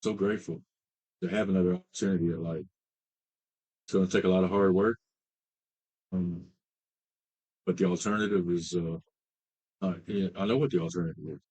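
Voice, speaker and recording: A man in his late fifties with a very low, husky voice shares his recovery story as a treatment client. He talks haltingly, with many fillers and restarts. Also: fairly even intonation, a little mellow, rough recording. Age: 50s. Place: treatment center.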